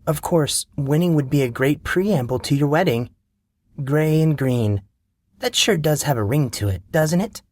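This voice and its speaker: A man voicing a sharp-tongued, smug young woman student, talking in a dry, sarcastic, teasing way. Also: fairly high pitch, lively intonation, unhurried, no echo, voice pushed up. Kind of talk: audiobook character voice.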